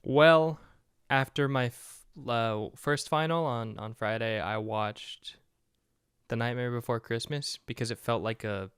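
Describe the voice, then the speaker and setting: A man's voice: medium pitch, measured pace, a bit bright, smooth, dry recording, studio quality. Easygoing young man, casual podcast chat. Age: young adult. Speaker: podcast co-host.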